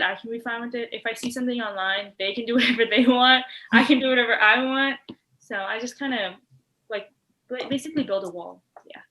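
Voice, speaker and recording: A woman's voice: on the high side, sharp tone, poor audio. A teenage girl co-hosting a podcast, talking in a breezy way and laughing mid-sentence. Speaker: podcast co-host. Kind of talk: casual chat.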